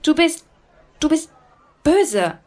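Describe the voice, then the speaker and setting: A shifty fruit seller in an audio drama, with a fairly high voice. She is hesitant and evasive, like a reluctant accomplice. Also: very animated, slow pace, a little mellow, heavily acted. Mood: uneasy, indignant. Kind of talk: German audio drama acting.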